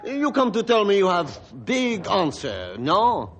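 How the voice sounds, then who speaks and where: Big lumber boss, animated, noisy recording. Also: male voice, high-pitched, a little slow, full character voice. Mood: earnest, troubled. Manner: broken English, hesitant phrasing. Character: big, devout, good-hearted French lumber boss. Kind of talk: old-time radio adventure acting.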